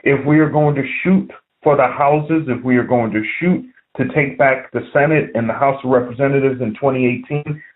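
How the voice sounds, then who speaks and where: An American man leaving a voicemail as a podcast listener, giving earnest political commentary in deliberate, emphatic phrases over the telephone. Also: mid-range voice. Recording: tinny narrow phone-line voicemail.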